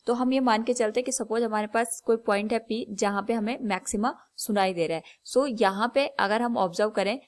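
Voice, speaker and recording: A woman with an Indian accent giving a step-by-step lesson as a physics teacher, instructional and measured when stating things. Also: on the high side. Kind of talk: step-by-step lesson explanation, switching between Hindi and English.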